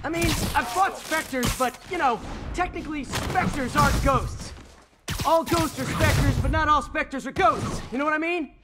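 A man's voice: very high-pitched, normal speed, over loud music. An actor playing a young male superhero in a video game, joking and chatty during fights. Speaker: game protagonist. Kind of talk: video game cutscene and gameplay banter. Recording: noisy game mix with sound effects.

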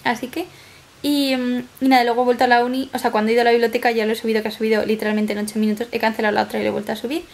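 High-pitched, creaky young Spanish woman. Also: little pitch movement, racing. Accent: Spanish from Spain. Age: 20s. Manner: rambling, chatty. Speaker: vlogger. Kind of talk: casual chat to camera. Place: small flat living room, indoors.

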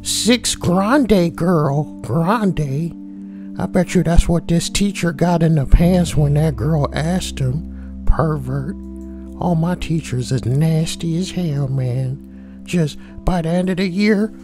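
A man puts on a brash, lewd schoolboy who reads forum posts for comedy. He talks slowly and drawls slangy, mocking asides. Music plays clearly behind the voice. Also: a little high. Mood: mocking, amused. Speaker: host reading forum posts. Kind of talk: comedic reading with crude commentary.

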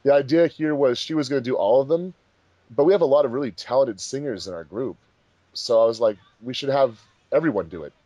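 A man's voice: medium pitch, a little fast, warm tone, dry recording, dull audio. A man hosting a commentary track in casual, chatty speech. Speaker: fandub director and commentary host.

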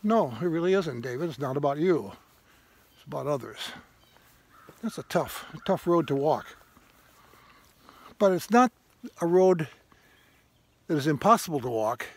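A man in his seventies giving a thoughtful, halting informal sermon to camera as he walks outdoors, repeating phrases for emphasis. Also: on the high side, animated, breathy edge. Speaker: vlogger, retired parish priest. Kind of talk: reflective informal sermon to camera while walking. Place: outdoors on a grassy woodland trail. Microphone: handheld phone at arm's length.

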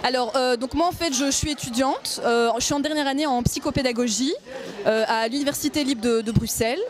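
A woman's voice: high-pitched, a little fast, a faint crowd. A woman in her twenties from Belgium, a university student, speaking earnestly into a handheld mic at an indoor event. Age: 20s. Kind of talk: on-camera interview at an event.

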